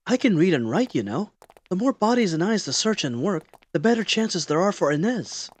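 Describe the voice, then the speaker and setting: Animated, higher-voiced man playing a gentlemanly adventurer. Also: a little fast. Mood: earnest. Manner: formal, theatrical phrasing. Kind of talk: fantasy audio roleplay acting.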